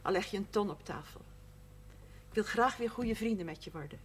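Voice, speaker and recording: A woman's voice: mid-range voice, a little fast, natural voice. An actress playing a proud, sober widowed shopkeeper and mother in a naturalistic television drama. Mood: firm, proud. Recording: faint noise, 1970s television soundtrack.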